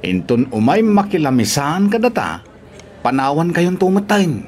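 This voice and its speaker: A man's voice: on the high side, big pitch swings, moderate pace, a little mellow. An actor with an Ilocano accent playing a teasing, mischievous, cocky male workmate in a radio-style drama.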